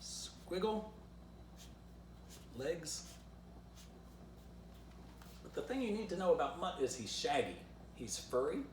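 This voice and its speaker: A man's voice: on the high side, big pitch swings, slow, pauses often. Male children's author, storybook read-aloud and easygoing drawing tutorial; distant mic in an echoey room. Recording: roomy, distant mic, faint background noise.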